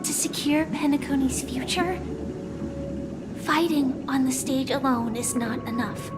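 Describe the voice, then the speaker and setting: Resolute young game heroine, high soft voice, measured slow delivery, wistful, music behind. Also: female voice. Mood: grave, earnest. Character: solemn, gentle young woman, resolute and wistful. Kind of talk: dramatic video game voice acting.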